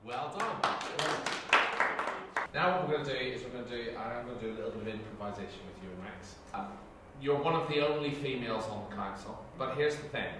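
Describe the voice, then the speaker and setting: A man's voice: mid-range voice, lively intonation, fairly quick. Guy running auditions, casual instructions, very echoey, far from the mic. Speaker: audition director. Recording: distant, very echoey room with background noise.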